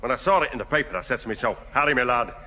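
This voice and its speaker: A man's voice: medium pitch, expressive, rapid-fire, sharp tone. An actor playing a chatty, bumbling lodger in a 1970 radio sitcom, rambling and mangling his words. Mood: chatty, jokey. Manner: rambling, malapropisms, hesitant asides. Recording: hissy old radio recording, echoey hall.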